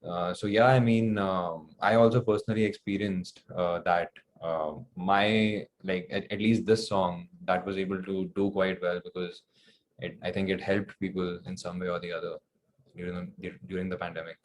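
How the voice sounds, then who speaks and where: A young man with an Indian accent gives casual interview answers in a low, very even voice. He is hesitant and often circles back over his words. Faint noise sits behind him. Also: moderate pace, poor audio. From New Delhi, India. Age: young adult. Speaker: singer-songwriter guest.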